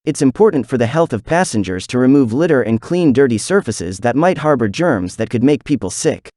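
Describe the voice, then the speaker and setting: Expressive mid-pitched man reading aloud. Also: fairly quick, no room sound, clean studio sound. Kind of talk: scripted read-aloud narration for exam practice.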